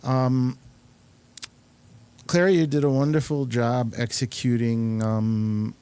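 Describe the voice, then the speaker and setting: A male show host chatting casually with guests. His voice is smooth and clear at a middling pitch, and he feels his way through sentences with halting pauses. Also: fairly even intonation, dark tone. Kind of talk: casual interview chat.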